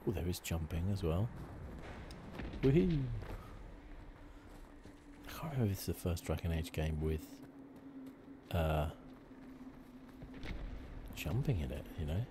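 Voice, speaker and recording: A soft-spoken man giving relaxed, unhurried and slightly halting ASMR commentary on a video game. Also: deep voice, noisy background. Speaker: gaming channel host. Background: game music.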